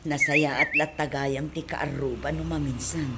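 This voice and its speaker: A woman's voice: deep voice, steady tone, normal speed, very noisy. A woman playing an aggrieved middle-aged mother of a jilted bride in a comedy radio drama, speaking with an Ilocano accent. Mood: subdued, regretful. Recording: music under the voice.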